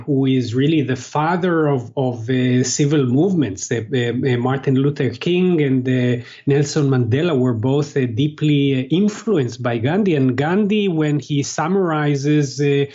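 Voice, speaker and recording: A man whose first language is Hebrew, answering interview questions as a translator, pausing often with fillers and restarts. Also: medium pitch, darkish tone, lo-fi sound. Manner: halting, many fillers and restarts. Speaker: guest, translator.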